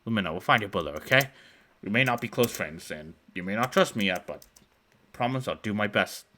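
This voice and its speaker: A man voicing a calm, collected young man with a reassuring, earnest way of talking. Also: lowish voice, a little fast.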